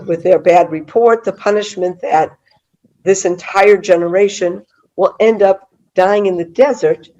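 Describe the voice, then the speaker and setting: A middle-aged woman with a cold-hoarse voice teaching a Bible study over a video call, chatty and full of fillers and restarts. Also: fairly low pitch, dark tone, muffled recording. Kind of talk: online Bible class lecture.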